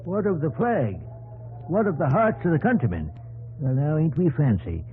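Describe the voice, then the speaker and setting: An actor playing a gruff, impatient backwoods countryman, scolding in a folksy, plain-spoken way in a 1950s radio drama. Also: a little high, very animated, normal speed. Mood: scornful, lecturing. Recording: vintage radio broadcast, narrow band, faint noise.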